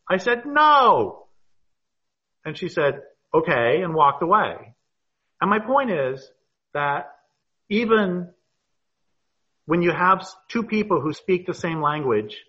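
A man's voice: a little high, sharp tone. A man speaking thoughtfully as a panel guest on a video call, telling stories unhurriedly. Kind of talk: reflective talk on an online call. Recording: muffled video call audio.

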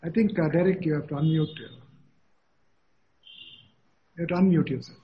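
A man moderating an online panel, reading out questions haltingly with many fillers. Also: on the high side. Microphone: video call. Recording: dull video call audio with faint noise.

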